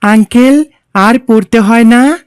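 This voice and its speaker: Woman voicing earnest polite boy, cartoon, smooth clear voice, unhurried. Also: on the high side, darkish tone, no echo, clean studio sound. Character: polite, well-behaved, earnest young boy. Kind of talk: didactic cartoon dialogue.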